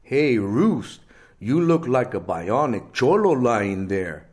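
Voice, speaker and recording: A man voicing a loyal teenage friend who speaks nervously in halting chunks. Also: medium pitch, lively intonation, a little mellow, close to an everyday voice.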